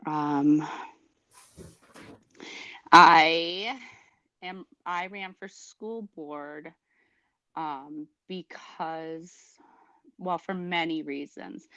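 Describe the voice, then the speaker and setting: A woman introducing herself as a new school board member, talking in a rambling, halting, earnest way. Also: low-pitched, no echo, dull audio. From Prairie Island Indian community, Minnesota. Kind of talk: informal self-introduction at a meeting.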